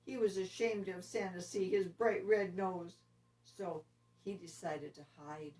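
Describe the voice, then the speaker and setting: A woman narrating a children's story expressively, with deliberate, dramatic phrasing. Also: lowish voice, steady tone, darkish tone. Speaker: storybook reader. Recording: distant microphone.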